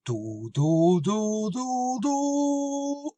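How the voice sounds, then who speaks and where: A dopey, dim-witted tavern regular, played by a man in an old-time radio comedy. He speaks in a slow, thick drawl, and his pitch swings widely. The sound is dry and a little off the mic. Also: wildly swooping pitch, warm tone, no echo, faint music behind, full character voice.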